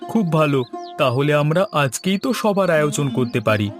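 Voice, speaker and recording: Man acting as higher-voiced, earnest young cartoon villager. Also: very animated, fairly quick, music in the background. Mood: eager, concerned. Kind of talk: scripted cartoon dialogue.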